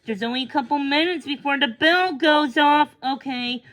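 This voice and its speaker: A young schoolgirl from a children's storybook, acted out by a woman reader, with a high voice. She is firm and bossy, coaching her friend with encouragement. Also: moderate pace, a bit bright. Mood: bossy, encouraging. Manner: firm, instructive.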